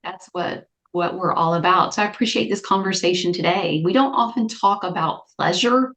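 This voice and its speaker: A woman clinical psychologist, a guest on a podcast, explaining her ideas in a lively, conversational way. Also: on the low side, normal speed. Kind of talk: conversational interview.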